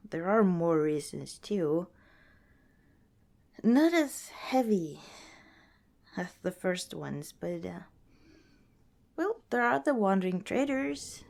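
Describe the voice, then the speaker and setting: A woman playing a friendly, protective young wanderer in an ASMR roleplay, chatting casually and gently with hesitant pauses and small chuckles. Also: fairly low pitch, gravelly, exaggerated voice. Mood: gently wary. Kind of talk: ASMR roleplay, casual one-sided dialogue.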